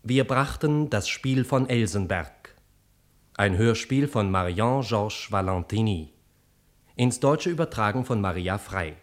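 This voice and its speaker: A man's voice: fairly low pitch, animated, unhurried. A man reading radio play credits as a formal announcer.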